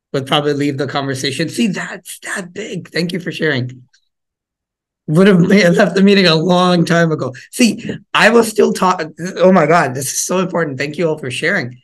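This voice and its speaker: A man's voice: on the high side, fairly bright tone. A man presenting a parenting workshop over a video call, talking casually with the audience, asking questions and often repeating words as he thinks. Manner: conversational, engaging the audience with questions; frequent fillers and repeated words. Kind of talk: interactive parenting workshop talk.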